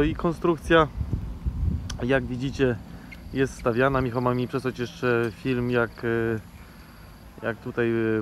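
A man in his thirties talks casually into a handheld phone as he walks around a rainy outdoor building site. His voice sits at an ordinary middle pitch, and he fills his pauses with easy "uh" sounds. A faint wash of outdoor ambience runs under him. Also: a little hiss or hum. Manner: relaxed, chatty, frequent filler sounds. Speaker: vlogger. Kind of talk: casual walk-through vlog narration. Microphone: handheld phone camera.

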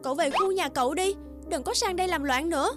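A woman's voice: very high-pitched, fast, soft background music. A woman voicing a brave, protective older-sister flower in a children's cartoon fairy tale. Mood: scolding.

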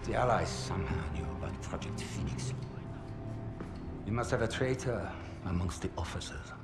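Man acting commanding senior officer, gravelly, deep, withholding, cold, music behind. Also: natural voice. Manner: deliberate, authoritative, withholding. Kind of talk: dramatic game cutscene acting. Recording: music under the voice.

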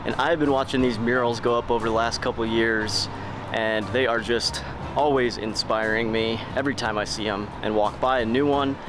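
A man's voice: medium pitch, fairly even intonation, moderate pace, noisy background. A man in his thirties with an American accent talking casually and enthusiastically to camera as an artist vlogger. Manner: upbeat, enthusiastic. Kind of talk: casual vlog talk to camera. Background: music.

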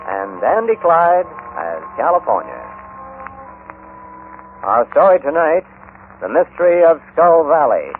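An American man announcing and narrating a vintage radio western in a dramatic, declamatory scripted style. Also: on the high side. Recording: muffled, narrow vintage radio recording.

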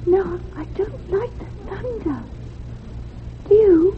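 A woman's voice: extremely high pitch, a little hiss or hum. A woman playing a ghostly little girl in a radio drama, plaintive and childlike, repeating herself. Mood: plaintive, eerie. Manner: slow, repetitive, childlike questioning. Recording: tinny phone-like band, faint music.

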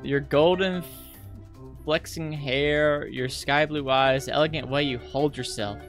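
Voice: medium pitch, steady tone, a little slow, faint music behind, close to an everyday voice. A visual novel player voicing a cheerful, adoring schoolgirl in an eager, gushing manner.

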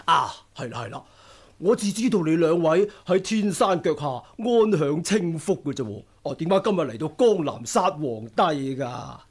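An actor playing a jovial, genial older swordsman with a husky voice in a radio drama, with a Hong Kong Cantonese accent. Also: on the high side, sing-song, normal speed.

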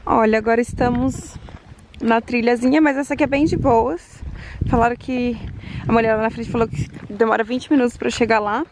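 High-voiced young Brazilian woman vlogging. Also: fairly even intonation, a little fast. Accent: Brazilian Portuguese. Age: 20s. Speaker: travel vlogger. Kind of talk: casual vlog chat to viewers. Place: outdoors by desert roadsides and trails. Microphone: camera at arm's length. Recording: outdoor ambience noise, handheld camera.

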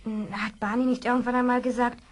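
Radio play part: careful girl, slightly high voice, thoughtful and pondering. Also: flat delivery, quick pace. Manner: pondering, hesitant hums. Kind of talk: children's radio play acting.

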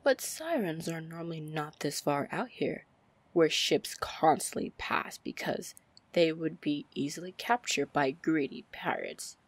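A seductive female pirate lord, acted by a woman, speaks slowly and rather low, close and dry. She is commanding yet teasing, slipping toward breathy near-whispers in an ASMR fantasy roleplay. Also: expressive, no room sound, exaggerated voice. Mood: probing, sly. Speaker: roleplay audio performer.

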